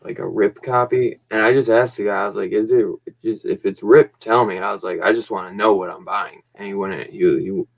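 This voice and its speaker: Laid-back low-voiced guy on a dull call. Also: steady tone, a little fast, gravelly, hardly any pauses. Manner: laid-back, rambling anecdotes, laughs easily. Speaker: guest on live stream. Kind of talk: casual chat.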